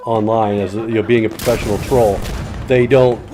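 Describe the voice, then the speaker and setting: A man's voice: on the low side, fairly even intonation, brisk, over music. A man streaming a shooter game, rambling in casual commentary with plenty of swearing and answering his chat.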